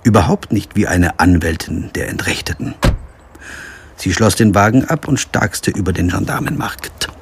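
A man's voice: lowish voice, animated, normal speed, noisy background. A man playing a sober, understated lawyer who narrates a radio crime drama in standard German. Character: male lawyer narrating his own crime investigation. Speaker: actor playing narrator and lead. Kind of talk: radio play narration and dialogue. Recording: music behind the voice.

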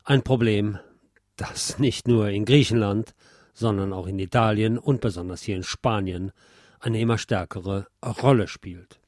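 A man's voice: lowish voice, a little slow, darkish tone, dry recording, studio quality. A man presenting a radio segment with a scripted introduction. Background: music behind the voice.

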